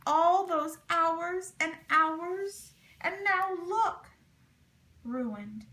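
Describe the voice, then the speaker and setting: A woman's voice: very high-pitched, drawn-out words, pushed and bright, echoey room, voice pushed up, heavily acted. A woman voicing an earnest farm man who rallies his club in an emphatic, oratorical storybook voice. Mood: moaning dismay. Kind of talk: storybook character voice.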